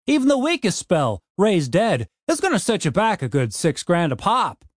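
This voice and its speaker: A man's voice: high voice, huge pitch swings, normal speed, no echo, clean studio sound, voice pushed up, exaggerated voice. A man voicing a crippled male gnome innkeeper who talks bluntly and swears a lot. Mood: rueful.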